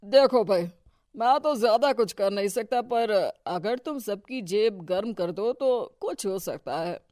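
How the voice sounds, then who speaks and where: A woman's voice: mid-range voice, lively intonation, a little slow, dark tone, no echo, clean studio sound, natural voice. A woman voicing a corrupt, bossy male local politician in a cartoon story, with a pompous, commanding manner. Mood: sly, wheedling. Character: domineering, corrupt male village-politician husband, smug and bossy. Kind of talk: scripted cartoon story dialogue.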